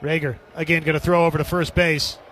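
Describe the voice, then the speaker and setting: A man's voice: fairly high pitch, little pitch movement, fairly quick, a bit bright. A man calling a baseball game as a radio play-by-play announcer, describing the action in clipped phrases. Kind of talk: live radio sports commentary.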